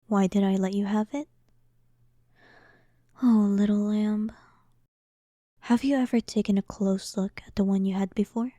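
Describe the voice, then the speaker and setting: Woman playing a slow, smug, mocking demon queen. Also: medium pitch, fairly even intonation, warm tone, dry recording, natural voice. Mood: smug, teasing. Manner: slow, dominant, teasing, condescending, often dropping toward a whisper. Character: arrogant, sarcastic demon queen toying with a captive hero. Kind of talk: scripted roleplay audio drama.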